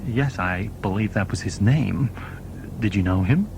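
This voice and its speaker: A man's voice: on the low side, a little slow, creaky, noisy background. An actor playing a polite, formal male train steward in an audio drama. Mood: smooth, evasive. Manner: formal, deferential.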